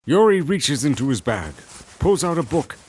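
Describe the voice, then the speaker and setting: A man's voice: fairly high pitch, sing-song, moderate pace, darkish tone. A man narrating a scripted story as a dramatic narrator. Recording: music behind the voice. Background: clear background music.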